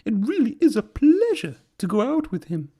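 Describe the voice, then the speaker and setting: A man's voice: very high-pitched, huge pitch swings, normal speed, mellow, husky, pitched above the performer's own voice, heavily acted. A man voicing a sweet, anxious female railway coach in a children's storybook. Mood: gentle.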